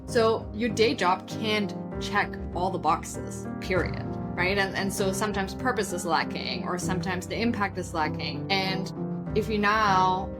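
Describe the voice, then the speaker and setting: A woman in her thirties from Germany, speaking English with a German accent, telling her story as a guest on an interview show in a lively, chatty way full of "like" and "literally". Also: medium pitch, a little slow, over loud music. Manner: energetic, storytelling, says "like" and "literally" a lot. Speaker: guest, side hustle coach. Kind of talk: conversational podcast interview.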